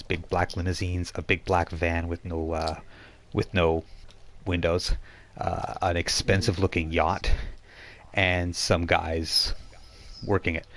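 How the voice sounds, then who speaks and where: Male game master, deep-pitched, describing scenes off the cuff with a halting, word-hunting delivery. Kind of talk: narrating a live tabletop role-playing game, improvised.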